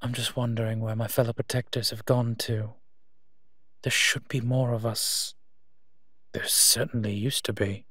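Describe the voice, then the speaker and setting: A man playing a gentle, teasing monster who protects children, speaking softly in an intimate audio roleplay addressed to the listener. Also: medium pitch, flat delivery, dry recording, studio quality. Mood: serious, concerned. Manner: soft-spoken, unhurried, playfully teasing.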